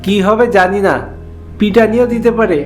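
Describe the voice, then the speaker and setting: A man's voice: sits high, fairly even intonation, moderate pace, a little mellow, soft background music, natural voice. A man voicing a timid, wheedling village schoolboy in a cartoon story, hesitant and pleading. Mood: worried.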